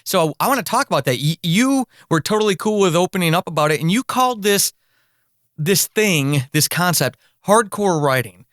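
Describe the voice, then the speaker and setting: A man's voice: high-pitched, lively intonation, moderate pace, fairly bright tone, no echo, studio quality. A man hosting a podcast interview, speaking in an effusive, emphatic, enthusiastic way.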